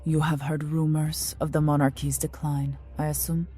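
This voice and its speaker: An actress playing a mysterious, commanding royal agent in a steampunk audio drama, formal, cryptic and coolly condescending. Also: female voice, low voice, little pitch movement, moderate pace, dark tone, faint music behind, natural voice. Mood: grave, commanding.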